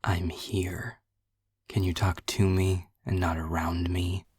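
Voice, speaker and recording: A man playing a sullen, sardonic former vampire made human, complaining in a hushed, very breathy rasp for a roleplay audio drama. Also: deep voice, flat delivery, slow, no room sound, full character voice. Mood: bitter, indignant. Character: bitter, whining male former vampire, unwillingly made human.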